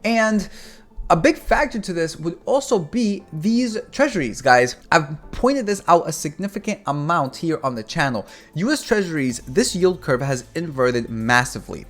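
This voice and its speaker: A young man from Cuba hosts a finance channel, talking loosely and rambling as he turns to his viewers. His pitch rises and falls a lot as he talks. Faint music plays under his voice. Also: moderate pace. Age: 20s. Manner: rambling, animated, addresses viewers as guys. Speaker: finance YouTuber. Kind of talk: casual market commentary.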